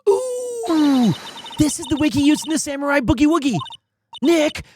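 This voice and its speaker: A man voicing an excitable, cheeky, always-hungry teenage girl assistant, eager and playful, reading video game dialogue. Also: very high voice, normal speed, noisy background, pitched above the performer's own voice. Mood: excited.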